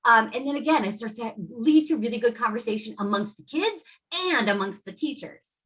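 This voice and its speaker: A woman educator leading a workshop for teachers, talking with lively energy and acting out quotes from students and parents. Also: a little high, brisk. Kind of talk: presenting to educators.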